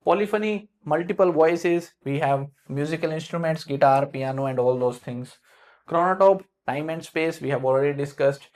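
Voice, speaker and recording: A man's voice: a little high, moderate pace, darkish tone. A man with an Indian English accent, tinged by South India, explaining literary theory in a loose lecture style with frequent fillers and restarts. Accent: Indian English with South Indian influence. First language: Hindi. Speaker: literature teacher.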